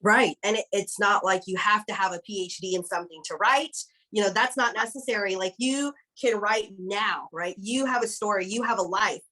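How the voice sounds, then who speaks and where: A woman's voice: mid-range voice, moderate pace, hardly any pauses, low-quality recording. Affirming woman interviewer, chatty. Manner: warm and affirming, many fillers. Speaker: interview host, company founder. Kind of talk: casual interview conversation.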